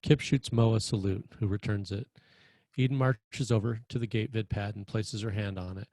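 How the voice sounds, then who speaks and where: A man narrates a screenplay, reading out the stage directions. His voice is fairly low and the sound is close and dry. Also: steady tone, moderate pace, darkish tone, no echo. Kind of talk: scripted narration.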